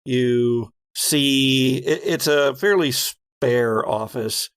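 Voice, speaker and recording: A man narrating a tabletop roleplaying game as game master, telling the scene in a halting, deliberate way. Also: medium pitch, clean tone.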